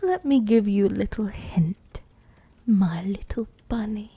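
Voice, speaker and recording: Woman playing a slow, whispering British female werewolf. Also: medium pitch, expressive, warm tone, dry recording, heavily acted. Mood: teasing menace. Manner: slow, teasing, menacing, breathy murmur. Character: sadistic, possessive female werewolf-witch hybrid toying with prey. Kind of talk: ASMR roleplay, close whispering.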